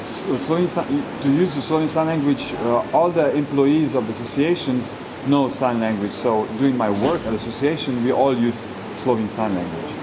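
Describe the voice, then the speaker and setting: Halting male interpreter over clear background noise. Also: mid-range voice. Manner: halting, searching for words and repeating them. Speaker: sign language interpreter voicing an interviewee. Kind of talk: spoken interpretation in a formal interview. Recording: clear air-conditioning-like background hum.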